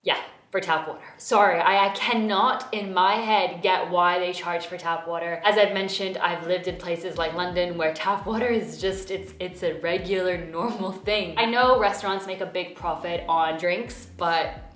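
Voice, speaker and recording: A woman's voice: lowish voice, normal speed, a bit bright. Chatty woman in a roomy room. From Belgium. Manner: chatty, frank, mildly indignant. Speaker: vlogger. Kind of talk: casual talking-to-camera vlog. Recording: roomy indoor echo.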